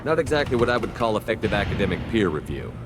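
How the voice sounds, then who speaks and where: An actor playing a cool, direct human military commander in a video game, speaking dryly. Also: male voice, lowish voice, big pitch swings, quick pace, noisy background. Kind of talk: video game character acting.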